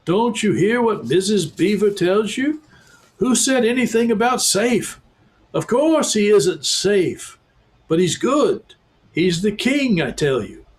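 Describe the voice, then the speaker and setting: A man reading aloud and voicing an excitable, earnest male beaver who talks emphatically. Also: sits high, takes it slowly, pitched above the performer's own voice. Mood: eager.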